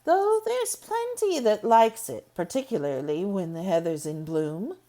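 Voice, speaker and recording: A woman's voice: mid-range voice, sing-song, unhurried, dark tone. A woman voicing a plain-spoken middle-aged housekeeper who speaks firmly and matter-of-factly. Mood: brisk.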